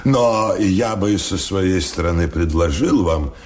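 An actor in a Soviet radio drama playing a sly, unctuous government minister who speaks in grandiloquent, insinuating phrases. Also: male voice, sits low, slow, darkish tone. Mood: insinuating, calculating.

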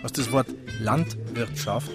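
A hearty Bavarian countryman played by a male actor in a naturalistic radio play. His voice sits in the middle range and he talks in chummy, joking dialect. Music is clearly audible behind him. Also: medium pitch, slow, natural voice. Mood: chummy, teasing. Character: hearty, teasing male Bavarian farmer and bridegroom. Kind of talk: naturalistic radio drama dialogue.

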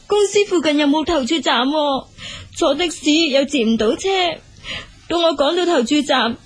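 Radio drama ingenue: female actor as guilt-ridden young Hong Kong Cantonese woman, high-pitched, hesitant, plaintive. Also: normal speed, lightly acted. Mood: guilty, hesitant. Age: young adult. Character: timid, guilt-ridden young girlfriend and dutiful daughter.

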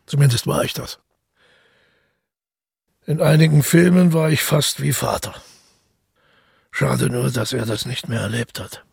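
A male actor playing a brooding, self-doubting former stage actor in a radio drama monologue, musing haltingly in a husky, breathy voice. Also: medium pitch, darkish tone, no room sound, studio quality, heavily acted. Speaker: narrator and lead of a radio play. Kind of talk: intimate first-person radio drama monologue.

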